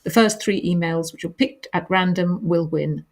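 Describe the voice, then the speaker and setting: Woman with a soothing, lowish voice reading out chat questions and answering them, lots of little ums. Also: normal speed. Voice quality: calming voice. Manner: reads chat questions aloud, then answers with gentle hesitations. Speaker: author hosting a live reader Q&A. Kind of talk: live-stream question and answer.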